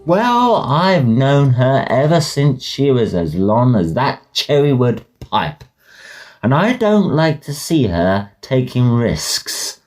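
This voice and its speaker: Animated, opinionated young British gentleman oarsman. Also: medium pitch, slow, faint music behind. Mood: indignant. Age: young adult. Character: hearty, opinionated, gossipy Victorian student oarsman. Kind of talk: audio drama acting.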